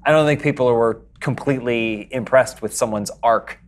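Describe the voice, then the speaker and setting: A man's voice: medium pitch, moderate pace. Man in casual podcast banter. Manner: animated, acts out characters' lines in a raised voice. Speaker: podcast co-host. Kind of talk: casual chat.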